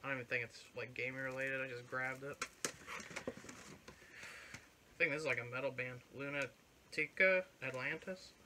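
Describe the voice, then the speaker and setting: A man's voice: mid-range voice, fairly even intonation, normal speed, long pauses, noisy background. A young man hosting a casual show-and-tell of his flea market finds, talking offhand and swearing now and then. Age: young adult. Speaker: channel host showing his finds. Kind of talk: casual chat. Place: living room with record shelves and couch. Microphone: fixed camera at couch distance.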